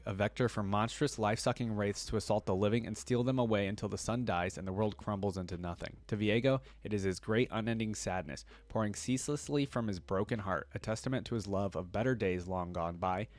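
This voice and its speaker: A man's voice: fairly low pitch, fairly quick, few pauses. A man hosting a reaction video, reading lore aloud and adding casual commentary.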